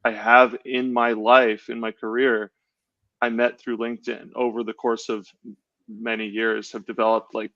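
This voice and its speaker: A man's voice: mid-range voice, little pitch movement, normal speed, pushed and bright, dry recording. Man, dull lo-fi call audio. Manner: enthusiastic, rambling with many fillers and restarts. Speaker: podcast co-host. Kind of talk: casual podcast chat.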